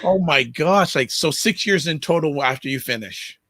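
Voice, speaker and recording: A man's voice: fairly high pitch, animated, normal speed, pushed and bright, no room sound. A man hosting an interview show, friendly and playful, often stumbling over his questions. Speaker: show host.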